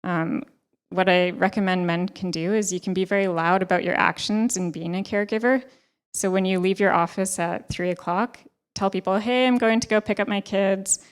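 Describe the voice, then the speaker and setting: A young woman gives advice from a panel stage, speaking into a handheld mic in a ballroom. Her voice is smooth and sits a little low, and her delivery is earnest and measured. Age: young adult. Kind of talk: panel discussion talk.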